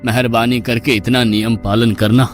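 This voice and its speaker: A man voicing a patient but strict old ashram guru who admonishes his disciple in a slow, fatherly way, in a cartoon story. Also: medium pitch, flat delivery, soft background music, close to an everyday voice. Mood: admonishing. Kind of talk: Hindi cartoon story dubbing.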